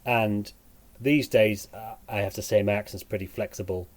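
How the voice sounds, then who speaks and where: A man with a northern English accent tinged with Sheffield, chatting as a podcast guest in a halting, wry way. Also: fairly low pitch, steady tone. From England. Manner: halting, self-correcting, dryly wry. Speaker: podcast guest, musician and teacher. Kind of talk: casual podcast conversation.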